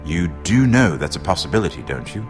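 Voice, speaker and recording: A deep-voiced, sardonic lord. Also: male voice, big pitch swings, soft background music. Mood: shrewd bargaining. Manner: measured, sardonic, worldly. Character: shrewd, wry noble lord and royal treasurer. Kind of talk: dramatic video game dialogue.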